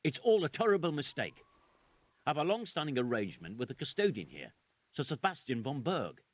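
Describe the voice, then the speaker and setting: A man's voice: a little high, sing-song, fairly quick, no room sound. An actor playing an evasive, indignant nobleman in a video game, protesting in a sardonic, plain-spoken way. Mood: defensive. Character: evasive, indignant nobleman caught skulking at night. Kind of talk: dramatic video game acting.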